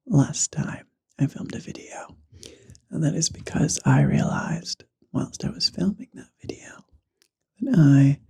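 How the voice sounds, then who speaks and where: Hoarse, gravelly man whispering slowly. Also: a little high, dark tone. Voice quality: raspy, guttural. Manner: chatty, self-deprecating, drifts between whisper and voice. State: losing his voice, hoarse. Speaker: vlogger. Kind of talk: casual ASMR whispering. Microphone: handheld microphone.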